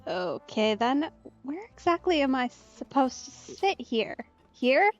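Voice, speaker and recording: A woman's voice: high voice, very animated, slow pace, highs cut off, soft background music. A woman doing casual, chatty let's-play commentary as a streamer, musing aloud informally.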